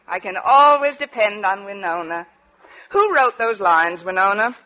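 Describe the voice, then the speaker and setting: An actress playing a wisecracking, easily flustered English teacher with dry delivery in an old radio comedy. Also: female voice, medium pitch, sing-song, unhurried, sharp tone. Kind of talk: 1940s-50s radio comedy acting. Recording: dull, noisy old radio, studio audience.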